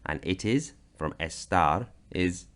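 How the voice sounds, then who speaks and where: A man from Britain narrating a slideshow grammar lesson, explaining slowly and deliberately. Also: low-pitched, expressive, a bit bright. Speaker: language teacher.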